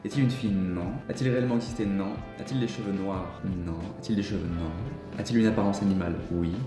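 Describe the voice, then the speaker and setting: A man's voice: low-pitched, fairly quick, roomy sound, music in the background. A young man giving casual gameplay commentary, reading each of the game's questions aloud and answering it himself. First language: French. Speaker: YouTuber playing an online guessing game.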